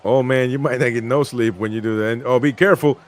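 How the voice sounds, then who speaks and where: A man's voice: medium pitch, fast talker, dry recording. A man from Peru hosting a casual live football stream, chatting about the match and reading viewer comments aloud.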